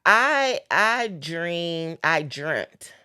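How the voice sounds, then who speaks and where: A woman's voice: on the low side, very animated, very slow, pushed and bright, smooth, no echo, studio quality. A young woman hosting a podcast, talking earnestly about faith and dreams and repeating phrases for emphasis. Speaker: podcast host. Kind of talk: casual faith-based chat.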